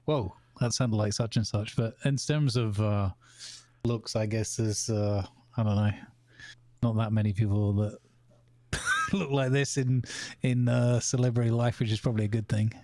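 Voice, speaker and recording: A man co-hosting a casual podcast chat, rambling with halting restarts and frequent laughs. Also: lowish voice, fairly even intonation, a little mellow, no echo. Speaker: podcast co-host.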